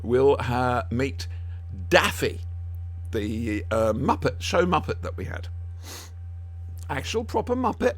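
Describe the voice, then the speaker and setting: A man's voice: mid-range voice, very animated, slow pace, long pauses. A man hosting a podcast in casual, jokey chat, often rambling and losing his thread. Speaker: podcast host.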